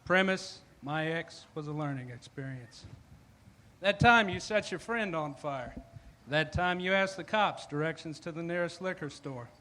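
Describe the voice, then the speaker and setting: A man doing a short stand-up set of deadpan one-liners. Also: on the high side. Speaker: open mic comedian.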